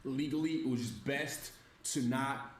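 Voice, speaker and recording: A man's voice: mid-range voice, a little slow, over a noisy crowd. Man rambling, roomy echo. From Sweden. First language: Swedish. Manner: rambling, full of fillers. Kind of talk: casual stream talk. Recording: roomy echo, faint noise.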